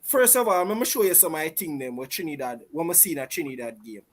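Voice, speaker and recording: A Jamaican man with a notably high voice argues a football point. He speaks forcefully and leans hard on his words. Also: big pitch swings, fast. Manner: forceful, emphatic. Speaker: panelist. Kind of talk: casual football debate.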